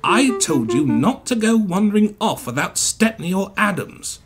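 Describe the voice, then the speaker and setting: A man's voice: medium pitch, huge pitch swings, unhurried, creaky, exaggerated voice. A man voicing a stern but fatherly railway manager in a storybook, authoritative, with music behind. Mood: disappointed. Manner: scolding, authoritative.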